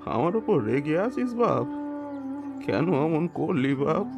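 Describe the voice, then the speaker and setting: Weary village dad, rustic, highish voice all over the place, music behind. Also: male voice, sing-song, slow, gravelly, full character voice. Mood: tender, sorrowful. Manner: rustic, emotional speech. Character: poor, weary peasant father, tender yet hot-tempered. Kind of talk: dramatised audio story acting.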